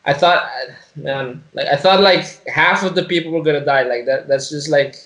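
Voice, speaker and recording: Higher-pitched young man, blunt and slangy. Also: normal speed, fairly bright tone, muffled recording. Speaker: podcast co-host. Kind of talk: casual chat about a film.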